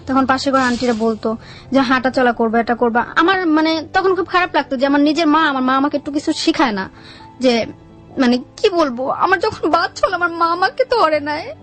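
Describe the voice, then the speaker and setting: A woman's voice: sits high, lively intonation, a little fast, a bit bright, soft background music. A young adult woman with a Bangladeshi Bengali accent telling her life story in a colloquial, plain-spoken way as an interview guest.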